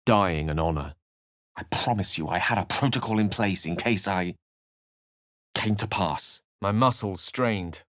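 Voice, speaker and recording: A refined, scholarly video game wizard in middle age. He phrases things ornately and with wry precision, theatrical yet measured. The recording is close and dry. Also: low-pitched, a bit bright, no room sound. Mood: solemn, reflective. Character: eloquent, refined adult male wizard companion, wry and scholarly.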